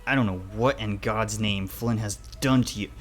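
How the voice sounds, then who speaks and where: A man's voice: fairly low pitch, normal speed, faint music behind, natural voice. A man voicing a stern, pious animal father in a dramatic story, scolding and accusing with disgust. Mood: cold disgust.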